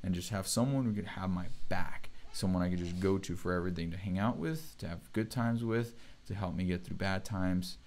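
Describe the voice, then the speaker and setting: A man's voice: deep voice, brisk, few pauses, darkish tone, slight background noise. A young man hosting a casual livestream chat, talking in a laid-back, rambling way and laughing often. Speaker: show host.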